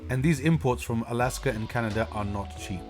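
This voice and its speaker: A man's voice: medium pitch, a little fast. Male voice-over over guitar music. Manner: relaxed, informative storytelling. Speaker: travel vlogger. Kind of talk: voice-over narration.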